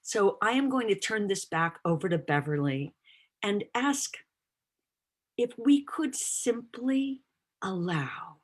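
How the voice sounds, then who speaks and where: A woman in her sixties giving an earnest, rousing inspirational talk as a guest speaker at a church service, addressing the audience directly over a video call. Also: mid-range voice. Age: 60s.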